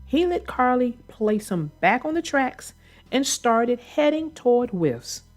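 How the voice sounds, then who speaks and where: Animated woman reading a children's story. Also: on the high side, takes it slowly, soft background music. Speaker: children's book narrator. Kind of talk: expressive read-aloud storytelling.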